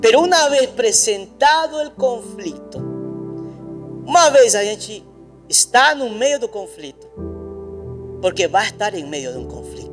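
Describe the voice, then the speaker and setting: A man's voice: high-pitched, very animated, measured pace, pauses often, faint music behind. A pastor preaching a sermon in a church, emphatic and repetitive, speaking straight to his congregation. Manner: addresses the congregation directly.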